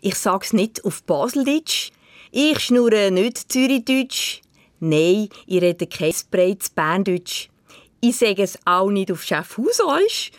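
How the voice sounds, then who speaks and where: A woman with a mild Zug Swiss German accent reads a humorous column with a wry, ironic edge. Her pitch swings widely as she plays with the words, and the recording is close and completely dry. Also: big pitch swings, slow pace, no room sound. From Canton of Zug, Switzerland. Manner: wry, ironic, playfully self-mocking.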